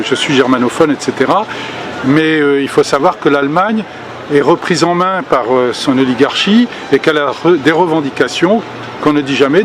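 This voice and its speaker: An older man delivers an unscripted activist speech outdoors, voice pitched a little high for a man. He pauses often, restarting sentences and filling gaps with hesitations, but stays earnest throughout. Passing traffic and street noise sit clearly behind him. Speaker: activist speaking at a public meeting. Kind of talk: spontaneous political speech.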